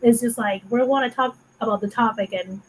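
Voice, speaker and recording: A woman's voice: a little high, steady tone, fairly quick, slight background noise, rough recording. A woman with a Puerto Rican accent co-hosting a casual online chat, earnest and thoughtful, sometimes searching for words, on a video call. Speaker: co-host.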